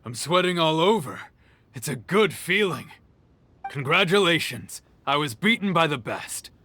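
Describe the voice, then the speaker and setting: A calm, humble fighter. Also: male voice, on the high side, measured pace, full character voice. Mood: reflective. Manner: calm, plain-spoken, sincere. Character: earnest male tournament fighter, humble. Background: game music.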